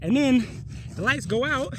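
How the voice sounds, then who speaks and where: A man's voice: very high voice, animated, unhurried. A young man vlogging his travels in a casual, slangy and reflective way, talking straight to the viewer. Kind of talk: casual vlog narration. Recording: loud background noise.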